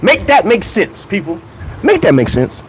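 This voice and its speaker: Young guy doing a comedic rant, high voice that jumps all over, keeps asking why and laughing, faint hiss behind. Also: moderate pace. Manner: animated, repeats rhetorical questions, laughs between points. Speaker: track and field commentator.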